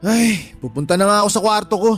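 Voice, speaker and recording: A young bully of the house in a radio drama, his voice pitched high. He is drunk, defiant and menacing, sneering insults and shifting blame, with music behind him. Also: lively intonation, quick pace, fairly bright tone. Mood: sneering, irritated. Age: young adult. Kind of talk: Tagalog radio drama acting.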